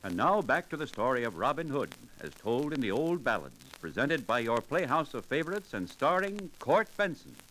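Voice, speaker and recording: A man's voice: medium pitch, very animated, normal speed, hardly any pauses, poor audio. A man narrating and announcing a radio drama in formal, dramatic 1940s storytelling style. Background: loud music.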